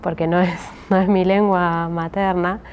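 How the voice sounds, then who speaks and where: A woman's voice: fairly low pitch, flat delivery, measured pace, dull audio, a little hiss or hum. A woman with a Rioplatense Spanish accent teaching a step-by-step craft tutorial, patient and checking in often with her listeners. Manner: patient, with frequent "¿sí?" check-ins and many hesitations.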